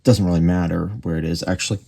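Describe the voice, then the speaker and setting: A man giving a casual hands-on repair demonstration, speaking haltingly as he thinks aloud while he works. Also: low voice, creaky. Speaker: radio repair hobbyist and presenter. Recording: steady background noise with clicks.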